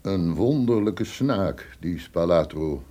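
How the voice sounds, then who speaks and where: An actor playing a flattering, treacherous count in theatrical radio drama, with courtly, formal politeness. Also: male voice, low voice, slow pace, full character voice. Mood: slyly agreeable.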